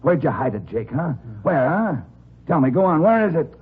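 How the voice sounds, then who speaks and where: Menacing old-radio gangster, lo-fi. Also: male voice, on the high side, sing-song, normal speed, husky, full character voice. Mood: taunting, menacing. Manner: slangy tough-guy talk, barked threats and sarcasm. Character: menacing, sneering male gangster, bullying and impatient. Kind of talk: 1950s radio crime drama acting. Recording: muffled, noisy old 1950s radio recording.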